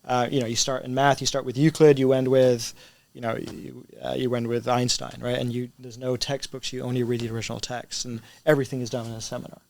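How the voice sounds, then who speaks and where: Middle-aged male teacher in a casual interview: hybrid international English accent, crisp resonant tone, very dry recording, frequent "right?" check-ins. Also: mid-range voice, level tone, fast, a little mellow, no room sound. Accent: mixed English, American and international. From Spain. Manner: conversational, often checks with "right?".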